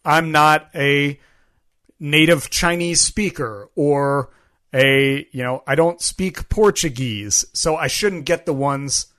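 A man's voice: on the high side, slow, fairly bright tone. A man co-hosting a podcast in a casual, joking and self-deprecating chat style. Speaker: podcast co-host.

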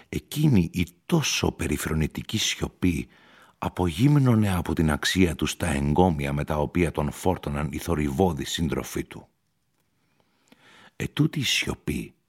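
Very deep, creaky male storyteller. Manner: slow, deliberate. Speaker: narrator. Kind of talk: dramatic literary story narration.

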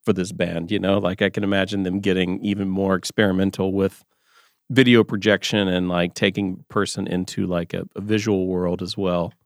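A man's voice: deep voice, little pitch movement, normal speed, no echo, studio quality. A man co-hosting a music podcast, chatting casually and rambling with lots of filler words. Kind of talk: casual chat.

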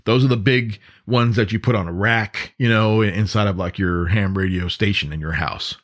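A man with a somewhat low-pitched voice talks as a podcast host. He explains things conversationally, like practical instruction, and leans on repetition and short asides to the listener to make his points land. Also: steady tone, normal speed. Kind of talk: conversational podcast monologue on emergency preparedness.

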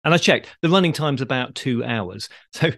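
A man's voice: medium pitch, expressive, normal speed, a bit bright, no echo, studio quality. A British man hosting a podcast, explaining things in a conversational way. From Britain. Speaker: podcast host.

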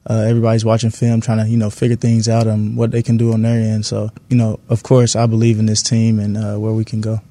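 A man in his twenties giving casual interview answers as an athlete, phrasing things haltingly. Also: lowish voice, monotone, dark tone. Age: 20s. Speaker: professional basketball player being interviewed.

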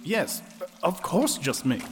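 A man playing a formal, watchful elf in an audio drama, speaking in a dry, measured, terse way. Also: fairly high pitch, sing-song, soft background music. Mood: calm, dry. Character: formal, watchful elf lookout, calm under threat.

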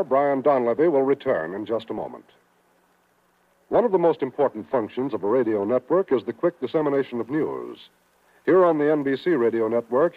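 An American man announcing for network radio in a formal, polished, emphatic 1950s style, reading from a script. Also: mid-range voice. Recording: tinny narrow-band 1950s radio recording.

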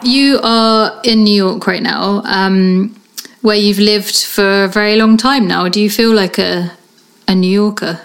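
A woman's voice: mid-range voice, steady tone, a little slow, vocal fry. A woman hosting a podcast, asking questions in a chatty way and thinking aloud with fillers. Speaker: podcast host and journalist. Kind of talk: conversational interview.

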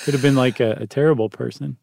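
A man's voice: mid-range voice, fairly even intonation, fast, creaky, a little mellow, dry recording. A man co-hosting a podcast in a playful, jokey, chatty way. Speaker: podcast co-host. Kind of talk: casual podcast chat.

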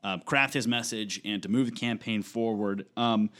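A man's voice: fairly low pitch, moderate pace, a bit bright. Rambling male talk show host. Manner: rambling, repeats words while framing questions. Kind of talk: hosting a political talk show.

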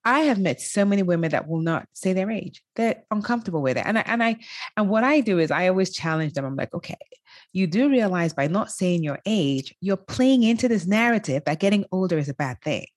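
A woman's voice: mid-range voice, lively intonation, no room sound, clean studio sound. A woman in her fifties, a life coach, talking enthusiastically as a guest in an interview and laughing easily. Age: 50s. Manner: enthusiastic, quick to laugh, emphatic. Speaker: guest, life coach for women over fifty. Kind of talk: interview conversation.

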